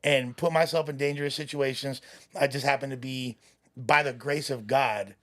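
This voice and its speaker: A man's voice: mid-range voice, steady tone, moderate pace, a bit bright. A man from West Los Angeles talking casually as a podcast guest, easygoing and full of street slang. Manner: streetwise slang, laid-back, says "you feel me" a lot. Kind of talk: casual chat.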